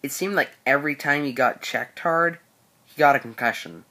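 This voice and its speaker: Teen guy going through hockey stats, steady, bright voice. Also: fairly high pitch, normal speed. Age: teens. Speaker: co-host. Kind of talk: prepared hockey analysis.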